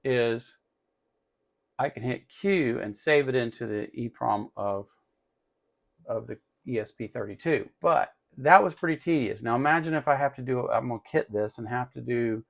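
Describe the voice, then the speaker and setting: A man's voice: medium pitch, normal speed, creaky. A man hosting an electronics tutorial in a casual, unscripted way, explaining step by step as he works and often repeating himself.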